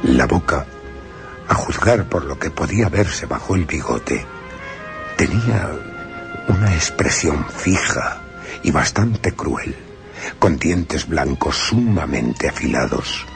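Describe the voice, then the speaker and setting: A man's voice: very deep voice, expressive, slow, gravelly, heavily acted. An actor playing a young male solicitor, who tells his frightening journey in tense first-person radio drama narration. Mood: fearful. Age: young adult. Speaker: narrator as character. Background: music.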